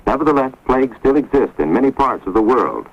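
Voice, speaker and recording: A man giving measured, grave scripted narration for an instructional film, recorded on a dull, lo-fi 1950s film soundtrack. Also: mid-range voice, sing-song, husky. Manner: measured, grave, authoritative delivery.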